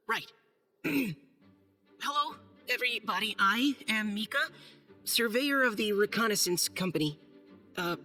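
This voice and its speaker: Timid, bright-voiced young knight. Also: male voice, sits high. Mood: nervous, polite. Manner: hesitant, nervous, stammering, formal. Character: timid, earnest young male knight surveyor. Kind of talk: video game acting, reading a letter aloud. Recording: faint music behind.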